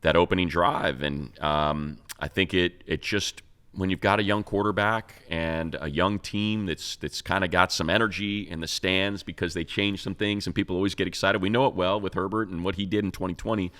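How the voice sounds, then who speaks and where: Deep-voiced American man talking sports. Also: fairly even intonation, moderate pace, a bit bright, smooth. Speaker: sports show co-host and radio play-by-play announcer. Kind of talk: casual sports talk and game analysis.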